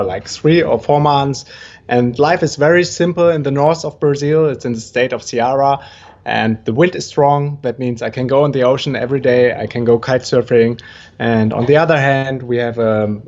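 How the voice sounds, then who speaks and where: A German man speaks English with a clear German accent. He tells his story with eager energy, broken up by ums and small restarts. His voice sits at an average pitch and comes through slightly muffled, lo-fi audio. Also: darkish tone. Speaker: guest entrepreneur. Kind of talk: podcast interview storytelling.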